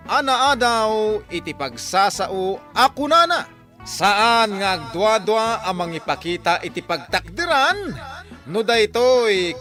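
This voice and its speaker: A bright, high-voiced Ilocano man delivers dramatic radio announcements over music. He takes his time, giving each scripted phrase a sweeping rise and fall.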